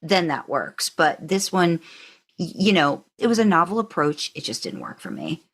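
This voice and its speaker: A woman's voice: lowish voice, very animated, moderate pace, fairly bright tone. A woman co-hosting a podcast, chatting casually through a ranked countdown and repeating words for emphasis. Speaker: podcast co-host. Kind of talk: casual fan podcast chat, ranking countdown.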